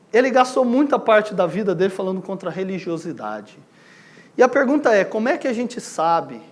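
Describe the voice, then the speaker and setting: Brazilian guy preaching to a church, kinda high voice, chatty, keeps asking the congregation questions. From Goiânia, Brazil. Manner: conversational, rhetorical questions, seeks the listeners' agreement. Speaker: missionary preacher. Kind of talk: sermon to a congregation.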